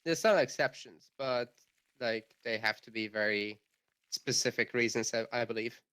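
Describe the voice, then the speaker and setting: A man with a Hungarian accent co-casting a strategy game, calmly explaining builds and upgrades. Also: mid-range voice, normal speed, clean tone. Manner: explanatory, analytical. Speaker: guest co-caster. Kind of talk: casual game analysis.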